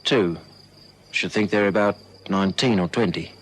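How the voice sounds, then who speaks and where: Low-voiced, grudging South African neighbour played by a man. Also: normal speed, slight background noise, close to an everyday voice. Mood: grudging, suspicious. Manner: blunt, grumbling. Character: grudging, suspicious, self-respecting poor male neighbour. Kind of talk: old radio drama acting.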